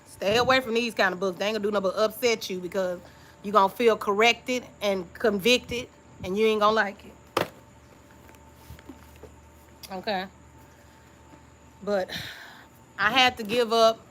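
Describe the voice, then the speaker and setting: A woman's voice: mid-range voice, slight background noise, poor audio. A woman YouTube creator talking through old journal entries in a casual live-stream chat, confiding and reflective, often checking in with her listeners with "okay?". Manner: relaxed informal speech.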